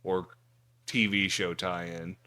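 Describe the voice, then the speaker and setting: A man's voice: sits low, flat delivery, takes it slowly, pushed and bright. A man hosting a casual pop culture podcast chat, blunt and opinionated, swearing freely. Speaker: podcast host.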